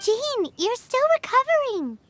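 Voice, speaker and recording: Chirpy sprite sidekick, very high, lively, over strong music. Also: female voice, a little slow, a little hiss or hum, heavily acted. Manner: bubbly, refers to herself in third person. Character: small chirpy companion sprite, female. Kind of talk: video game voice acting. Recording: game cutscene with loud music.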